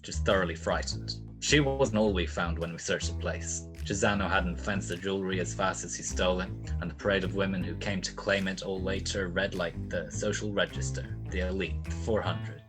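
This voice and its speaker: Man acting a low-voiced, wry hard-boiled detective. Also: moderate pace, over music, lightly acted, rough recording. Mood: wry narration. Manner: sardonic, wisecracking. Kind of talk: 1940s radio detective acting.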